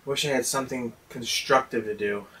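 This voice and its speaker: A man in his twenties playing a bored, dorky amateur superhero with a deadpan, mock-heroic delivery. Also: medium pitch, fairly quick, breathy edge, rough recording. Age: 20s.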